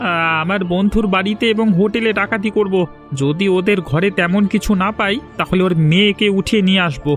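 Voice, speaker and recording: Man voicing a high-pitched, scheming village bandit. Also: steady tone, a little fast, a bit bright. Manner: bossy, calculating. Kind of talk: cartoon voice acting. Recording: music behind the voice.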